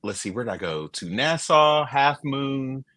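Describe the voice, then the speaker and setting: A man co-hosting a podcast, recounting things in a relaxed, warm way with bright lifts. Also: mid-range voice, unhurried. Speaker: podcast co-host. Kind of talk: casual chat.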